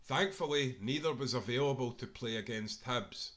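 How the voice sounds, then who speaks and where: Male Scottish narrator for a football history audiobook, very bright, forceful tone, mid-range pitch. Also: moderate pace. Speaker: author reading own book aloud. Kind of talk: scripted narration, audiobook reading of sports history.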